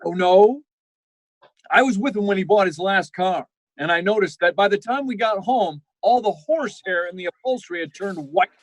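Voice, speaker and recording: Man as high-voiced sardonic radio-comedy doctor. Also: normal speed, low-quality recording. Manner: emphatic, mock-insulting. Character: sardonic, fussy male doctor, a needling family friend. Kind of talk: classic 1940s radio comedy acting.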